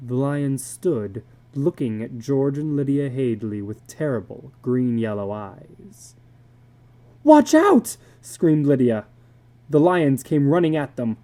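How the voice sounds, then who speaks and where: A woman narrating a literary story as a dramatic reading, expressive and deliberate in her delivery. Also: very deep voice.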